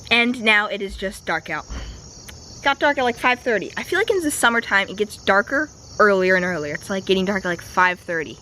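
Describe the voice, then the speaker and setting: A young woman vlogger, a bit raspy and slightly sick with a stuffy nose, chatting casually with lots of fillers. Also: mid-range voice, animated, a little fast, pushed and bright, a little hiss or hum. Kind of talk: casual vlog voiceover and to-camera talk. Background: music.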